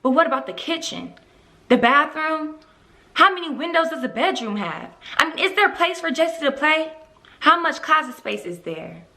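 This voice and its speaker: A woman's voice: high voice, animated, bright, forceful tone, voice pushed up, heavily acted. A woman performing a theatrical monologue as an exasperated young mother scolding her partner with indignant, insistent questions. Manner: indignant, rapid-fire questioning.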